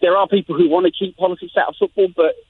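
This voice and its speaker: A man's voice: high-pitched, fairly even intonation, racing. A man with an English accent giving expert commentary as a journalist guest, thinking aloud with many restarts and fillers. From England. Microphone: phone line. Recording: tinny phone line with faint noise.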